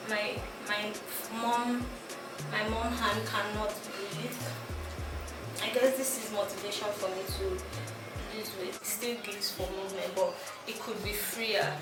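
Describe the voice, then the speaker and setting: A young Nigerian woman with a Nigerian accent, chatting giggly and casually through a clothing try-on haul, thinking aloud with restarts. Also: mid-range voice. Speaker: fashion vlogger. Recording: echoey room, mic at a distance, music behind.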